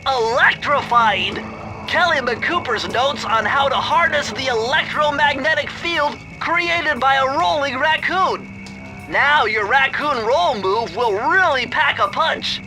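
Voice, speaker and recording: Nasal nerdy turtle, very high voice, fussy gadget whiz, cartoon game sidekick, music behind. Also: male voice, animated, slow, heavily acted, poor audio. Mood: excited, intrigued. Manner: fussy, precise, techy explaining. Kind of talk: video game cartoon voice acting.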